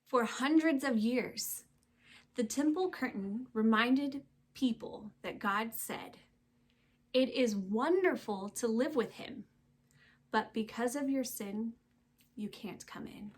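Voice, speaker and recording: Husky Southern woman reading to children. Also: on the high side. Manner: emphatic, with dramatic pauses and hushed phrase endings. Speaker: children's storybook reader. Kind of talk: expressive read-aloud storytelling for young children.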